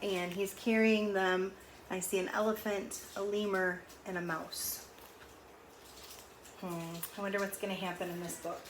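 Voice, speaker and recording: Female read-aloud storyteller, mid-pitched, chatty and explanatory with questioning asides; roomy, echoey sound with faint noise. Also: flat delivery, measured pace. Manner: explains words and pictures, asks questions, exclaims.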